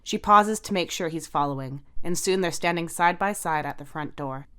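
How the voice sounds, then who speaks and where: A woman's voice: fairly low pitch, normal speed, bright, forceful tone, clear, resonant tone. A woman reading scripted story narration as the narrator.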